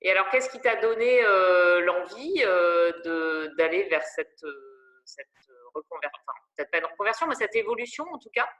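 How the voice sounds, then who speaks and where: A woman's voice: lowish voice, animated, moderate pace, fairly bright tone. A woman interviewing a guest for a women's network, asking questions with many hesitations. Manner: hesitant, many fillers and restarts.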